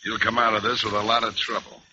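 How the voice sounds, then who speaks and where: Forceful, sharp American homicide cop. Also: male voice, fairly low pitch, level tone, quick pace. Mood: cold, threatening. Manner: curt, blunt, threatening. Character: hard, cynical male homicide detective. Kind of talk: old-time radio noir acting. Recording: dull, hissy old radio recording.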